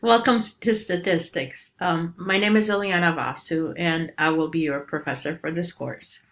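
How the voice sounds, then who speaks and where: Woman, Romanian accent, middle-aged professor, fairly low voice, filler-heavy explaining. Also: fairly even intonation, normal speed. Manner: informal, many ums and uhs, explanatory. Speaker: statistics professor. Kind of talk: recorded course introduction lecture.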